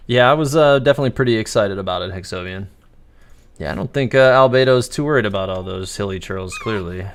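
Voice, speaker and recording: A man livestreaming a video game with casual, rambling, halting commentary. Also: fairly low pitch, clear, resonant tone. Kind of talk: casual live gameplay commentary.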